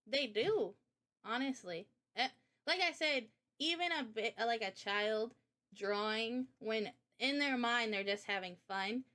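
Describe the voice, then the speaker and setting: A woman's voice: on the high side, bright, forceful tone. A woman in a casual livestream chat, explaining her ideas in an informal, opinionated way. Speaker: streamer and game developer.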